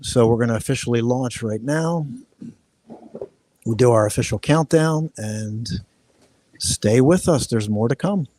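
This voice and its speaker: A man's voice: mid-range voice, measured pace, pauses often, warm tone, slight background noise. A man hosting a live-streamed podcast interview outdoors at a resort, talking in a loose, rambling way with frequent restarts. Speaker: podcast host. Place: outdoor resort near waterfalls. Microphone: live-stream setup.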